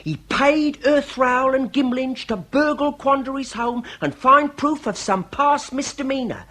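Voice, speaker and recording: Young british detective sidekick, high voice, proper and deferential, spells things out formally, radio comedy. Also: male voice, unhurried, pushed and bright, heavily acted. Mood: earnest, methodical. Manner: precise, formal, methodical recounting. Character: earnest, deferential young male detective's assistant. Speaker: actor in radio comedy drama. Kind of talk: British period radio drama acting.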